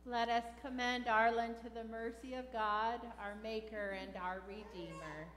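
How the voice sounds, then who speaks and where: A woman pastor leading a funeral liturgy in a measured, solemn way in a large church. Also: on the high side, steady tone, a bit bright. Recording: echoey church hall with background noise.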